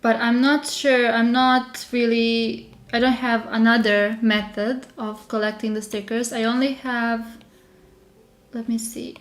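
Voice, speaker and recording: A woman from Serbia with a Serbian accent, slightly under the weather, chatting casually and haltingly as she hosts a live tutorial. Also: fairly high pitch, level tone. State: slightly unwell with a sore throat. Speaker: digital journaling creator hosting a live stream.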